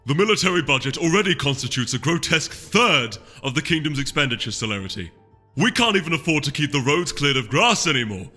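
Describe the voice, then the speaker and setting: A man's voice: fairly high pitch, sing-song, fast talker, bright, forceful tone, soft background music, pitched above the performer's own voice, exaggerated voice. A man voicing a haughty, disdainful unicorn duke in a storybook reading, with a pompous, speechifying manner. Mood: bored, irritated. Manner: pompous, oratorical.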